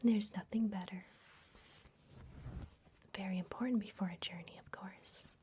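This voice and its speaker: A woman whispering gently and unhurriedly in a breathy voice, playing a kindly vampire librarian in an ASMR fantasy roleplay. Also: medium pitch, steady tone, a little slow, slight background noise. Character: kindly vampire librarian hosting a human guest. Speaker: ASMR performer.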